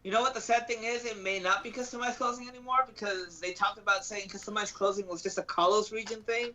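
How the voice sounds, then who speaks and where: Male podcast host, high bright voice, halting casual news delivery, some background noise. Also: steady tone.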